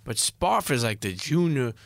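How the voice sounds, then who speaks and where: A man's voice: medium pitch, sing-song, measured pace, creaky, dry recording, studio quality. A man hosting a podcast interview, asking questions in a laid-back, chatty way with many fillers. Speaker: podcast host. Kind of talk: casual interview.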